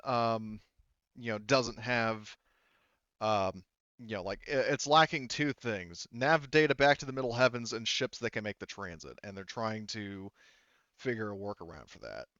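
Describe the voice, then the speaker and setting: Smooth, halting man running a tabletop game. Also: on the low side, fairly bright tone, dry recording. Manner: halting, many restarts and "you know". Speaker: game master. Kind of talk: explaining tabletop game lore, casual. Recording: slightly dull, dry close mic.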